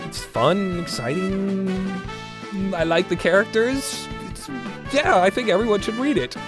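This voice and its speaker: A man hosting a solo review podcast, talking casually and getting animated when he is excited. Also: high-pitched, measured pace. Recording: music playing underneath. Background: guitar music.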